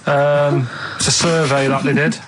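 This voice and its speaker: A man co-hosting a radio show, telling rambling anecdotes in a halting, matter-of-fact way. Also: a little high, level tone, noisy background. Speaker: radio show co-host telling odd stories.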